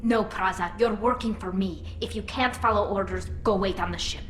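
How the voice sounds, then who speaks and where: A woman's voice: medium pitch, moderate pace, reverberant, faint music behind, lightly acted. A woman playing a firm alien squad leader. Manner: firm, commanding, earnest.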